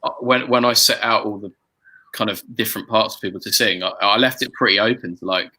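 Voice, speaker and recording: Bright-voiced man chatting, fairly low pitch. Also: poor audio. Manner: hesitant, halting, repeating words as he goes. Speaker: musician and song arranger hosting a group chat. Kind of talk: casual conversational chat about music-making.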